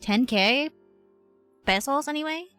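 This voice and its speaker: Animated, bright-voiced woman livestreamer. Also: high-pitched, measured pace. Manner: expressive, slangy, exclamatory, swears freely. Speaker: video game livestreamer. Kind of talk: casual reaction chat while playing a game. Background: game music.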